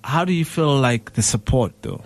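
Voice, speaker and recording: A man from South Africa hosting a radio chat show. His voice sits in a middle pitch, and he talks in a loose, easygoing way, full of fillers and quick laughs. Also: lively intonation. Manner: loose and chatty, many fillers, laughs easily. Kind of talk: casual radio interview.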